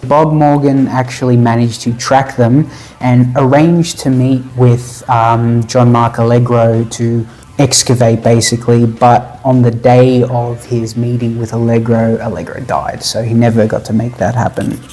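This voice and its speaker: A man co-hosting a casual podcast, telling a history story in a laid-back, joking way, with fillers and quick laughs. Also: mid-range voice, level tone, warm tone. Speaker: podcast co-host.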